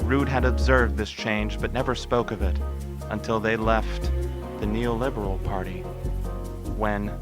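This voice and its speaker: A man's voice: fairly low pitch, steady tone, a little slow, lightly acted. A man narrating a radio play as a scripted storyteller. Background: loud music.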